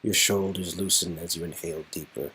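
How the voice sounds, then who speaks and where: A man's voice: low-pitched, steady tone, brisk, bright, forceful tone, slight background noise, low-quality recording. A man narrating a romantic game story in scripted second-person prose.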